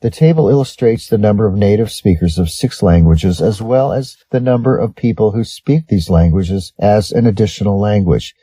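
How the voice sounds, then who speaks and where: Male reader, Western American accent, very dark mellow tone, husky, for scripted English lesson narration. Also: on the low side, expressive, moderate pace, hardly any pauses, dry recording. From Western United States. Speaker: English test-prep reader. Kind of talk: scripted read-aloud of sample essays.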